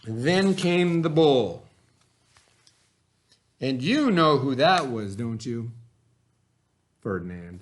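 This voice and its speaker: A man reading aloud and talking to children in simple, expressive phrasing, like a teacher. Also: medium pitch, extremely slow, pauses often. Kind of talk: children's story read-aloud, then informal talk to children.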